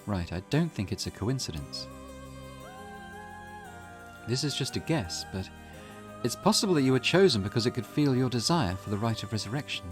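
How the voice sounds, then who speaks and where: Casting: male reader as sensible, protective teen schoolgirl in a visual novel, earnest, measured, expressive pitch, music underneath. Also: close to an everyday voice. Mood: thoughtful warning. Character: level-headed, cautious teenage schoolgirl, earnest and protective. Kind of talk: character voice reading a visual novel. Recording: music in the background.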